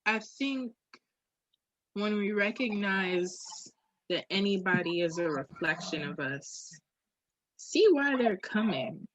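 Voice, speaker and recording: A woman's voice: medium pitch, animated, slow pace, no echo, lo-fi sound. A woman talking candidly on a podcast in an emphatic, slangy way, with swearing.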